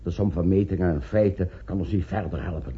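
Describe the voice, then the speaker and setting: A man's voice: low voice, a little fast, lightly acted. A man playing a pessimistic senior professor in a radio drama, sardonic and exasperated with his colleagues. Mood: gloomy, sardonic. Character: gloomy, impatient male senior professor, pessimistic about science. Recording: muffled old radio play recording.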